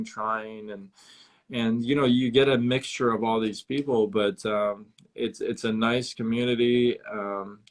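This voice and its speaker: Male host, halting delivery with stutters and repeats, relaxed interview chat. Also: medium pitch.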